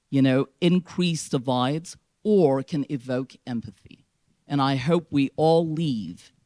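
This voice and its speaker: A man's voice: medium pitch, darkish tone, dry recording. A man speaking earnestly and reflectively in a panel discussion.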